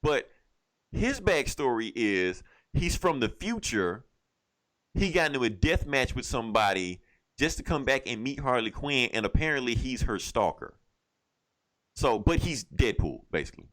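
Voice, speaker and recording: A man's voice: mid-range voice, very animated, normal speed, a bit bright, poor audio. A man co-hosting a podcast, casually retelling a story in a rambling, irreverent, slangy way with plenty of swearing. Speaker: podcast co-host. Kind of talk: casual recap of a comic book, profane banter.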